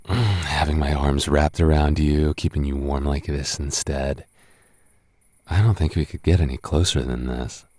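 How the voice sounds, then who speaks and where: Very deep-voiced flirty tipsy roommate played by a man. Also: unhurried, a little mellow, dry recording, clean studio sound. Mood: flirty, intimate.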